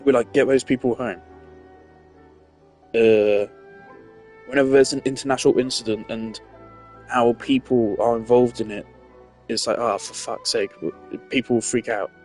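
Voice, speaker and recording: A man's voice: mid-range voice, fairly even intonation, normal speed, pauses often, darkish tone. Rambling, blunt male game commentator. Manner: rambling, blunt, swears freely. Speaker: gaming streamer. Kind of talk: casual gameplay commentary. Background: faint game music.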